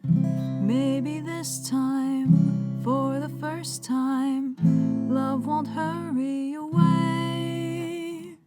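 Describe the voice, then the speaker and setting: A woman singing a slow, wistful song. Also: high voice, little pitch movement, loud music behind the voice.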